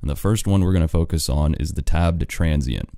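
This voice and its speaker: An American man, an audio engineer, giving a screen-recorded software tutorial in a plain step-by-step way. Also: rumbling low, a little fast, no room sound, studio quality.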